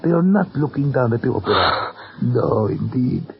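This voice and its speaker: An actor playing a humble, lovesick old Italian prop man, halting and imploring, in 1940s radio style. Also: mid-range voice, sing-song, vocal fry, husky, heavily acted. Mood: urgent, insistent. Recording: muffled vintage radio recording.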